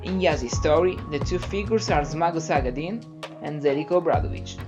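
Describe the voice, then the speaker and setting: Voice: medium pitch, brisk. A narrator reading a scripted voice-over for a sports video. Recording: dull muffled sound, music bed.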